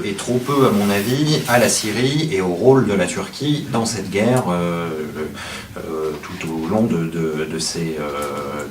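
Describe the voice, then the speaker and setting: A man speaking as a guest journalist, giving a talk to an audience with frequent restarts. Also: lowish voice. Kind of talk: lecture to an audience. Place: meeting hall. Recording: echoey room from far off, noisy.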